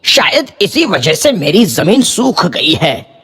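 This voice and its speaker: A man's voice: high-pitched, sing-song, slow, pushed and bright, breathy edge, full character voice. A man playing a humble, devout village farmer and father in a cartoon moral story, speaking earnestly and reverently. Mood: thoughtful, resolved. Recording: music behind the voice.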